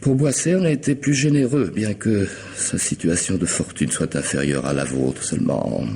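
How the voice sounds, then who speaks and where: A courteous, half-mad contract killer with a very low, creaky voice. He is mocking and insinuating, taking his time in an old radio mystery. There is faint noise on the recording. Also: a little mellow. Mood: cajoling, sinister. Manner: mocking, unhurried, falsely polite. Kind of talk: French radio mystery drama acting.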